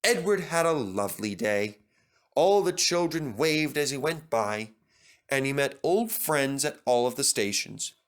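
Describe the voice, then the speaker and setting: A man's voice: medium pitch, expressive, unhurried. A man reading a children's story aloud as a scripted narrator.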